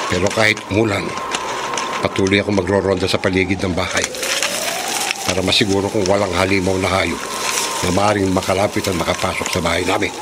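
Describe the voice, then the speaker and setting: An actor playing a brave, defiant young monster hunter in a theatrical horror radio drama. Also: male voice, fairly low pitch, gravelly. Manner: theatrical, declaiming. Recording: loud dramatic music behind. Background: loud music.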